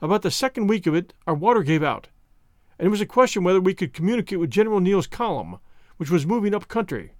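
A man's voice: sits high, very animated, quick pace, no room sound, studio quality. A man reading an audiobook, voicing a bitter, twisted former soldier with a vehement, impassioned delivery. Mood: bitter storytelling.

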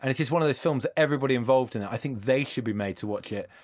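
A man's voice: medium pitch, racing, no room sound. A man, a podcast guest, talking in a dry, sardonic, scathing way in casual chat.

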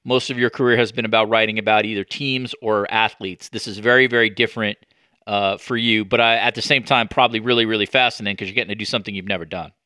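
A man's voice: on the low side, steady tone, quick pace, sharp tone, clear, resonant tone, dry recording, clean studio sound. A man hosting a casual sports interview, talking informally and often rephrasing himself as he goes. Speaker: podcast host and interviewer.

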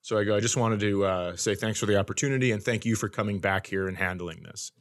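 A man's voice: lowish voice, fast talker. A man hosting a comedy podcast, telling stories casually in a wry, self-deprecating, conversational way.

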